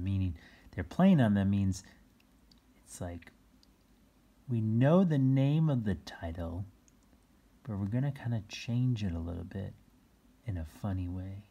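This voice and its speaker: A children's read-aloud host with a husky voice, reading a picture book and adding playful exclamations and explanations for young listeners. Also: low-pitched, dark tone. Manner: exclaims, gasps, chuckles and explains words to children.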